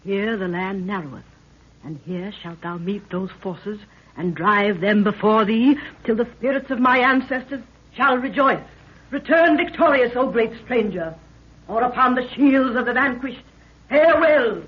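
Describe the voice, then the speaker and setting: A woman's voice: fairly high pitch, measured pace, breathy edge. An actress playing a proud, commanding queen with solemn archaic speech in an old radio drama. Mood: exultant. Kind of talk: 1930s radio drama acting. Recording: dull, noisy 1930s radio recording.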